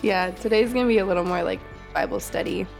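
Creaky-voiced woman pastor on a podcast. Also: medium pitch, fast, soft background music. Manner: chatty, laughing asides, frequent ums. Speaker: kids' pastor. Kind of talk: casual podcast Bible study.

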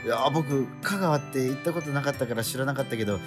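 A man's voice: medium pitch, quick pace, over music, lightly acted. A man playing a polite, curious teenage transfer student in a radio drama, with apologetic, puzzled interjections. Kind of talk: conversational radio drama acting.

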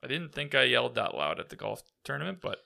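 A man hosting a podcast, chatting casually in a slightly raspy voice and rambling through a story with many fillers. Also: mid-range voice, very animated, quick pace, pushed and bright. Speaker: podcast host. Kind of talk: casual chat.

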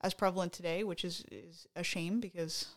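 A woman's voice: lowish voice, steady tone, moderate pace. Chatty woman podcast co-host. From Canada. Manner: chatty, hesitant with many fillers. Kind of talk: casual chat.

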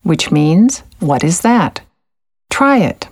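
A woman with a low voice narrates a language course in a calm, encouraging way. Her delivery is measured and steady, like a scripted guide. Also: slightly rough.

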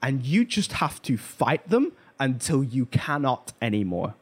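A man's voice: medium pitch, very animated, a little slow, slightly rough. A man hosting a podcast, talking casually and informally, telling stories with emphatic asides. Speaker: podcast host. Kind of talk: casual pop culture discussion.

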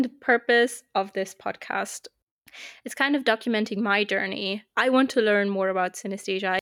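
A woman's voice: medium pitch, clean tone, no room sound, clean studio sound. A woman in her twenties from Germany with a German accent, casually introducing her podcast as host in English, her second language. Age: 20s. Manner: chatty and upbeat, with fillers and laughs.

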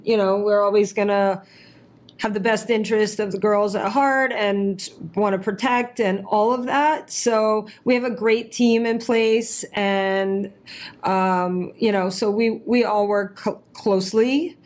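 A middle-aged mother answering interview questions over a video call, measured and full of fillers. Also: mid-range voice. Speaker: guest, parent.

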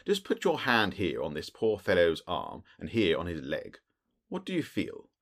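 A man's voice: low voice, huge pitch swings, normal speed, full character voice. A man voicing a keen, self-assured detective in an audiobook, speaking in a precise, didactic and dry manner. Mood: brisk, questioning. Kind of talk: audiobook character voice.

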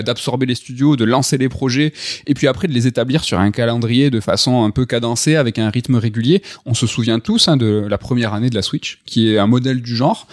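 A man's voice: medium pitch, a little mellow, dry recording, studio quality. A man hosting a casual video game news podcast, chatty and upbeat, with many fillers and restarts. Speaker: host.

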